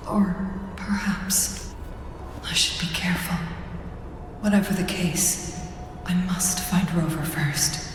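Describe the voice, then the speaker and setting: A woman playing a composed, thoughtful young warrior-strategist in a video game, speaking her inner thoughts with measured care. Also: lowish voice. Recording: heavy echo and reverb, noisy ambience.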